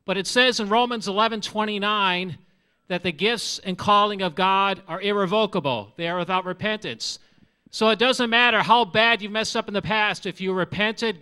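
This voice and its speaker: Bright-voiced Minnesota man preaching. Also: high voice, moderate pace, clear, resonant tone. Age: 40s. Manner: earnest and conversational, asks the audience questions. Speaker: missionary. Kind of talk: testimony from a church stage. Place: large church sanctuary with audience. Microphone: handheld microphone.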